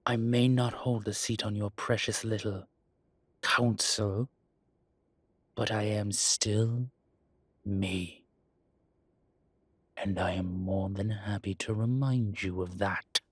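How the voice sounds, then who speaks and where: A man playing an ancient, proud vampire elder in an audio drama, deliberate and quietly menacing, with a husky voice. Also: fairly low pitch, fairly even intonation, no room sound. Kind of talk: audio roleplay drama acting.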